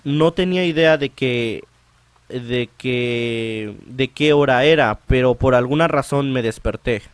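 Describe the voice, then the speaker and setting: Man with higher-pitched voice reading a story aloud. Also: a little slow, smooth, dry recording. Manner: stumbles and rereads phrases. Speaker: let's play host. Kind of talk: reading visual novel narration aloud in translation.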